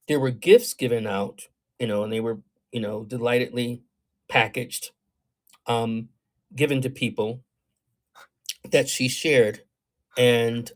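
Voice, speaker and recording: A man's voice: mid-range voice, a little mellow. A man giving astrology readings on a livestream, talking in a thoughtful, wandering way with many fillers, reading viewer comments aloud and reacting to them. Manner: meandering, thoughtful, many ums and you-knows. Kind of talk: livestream talk answering chat.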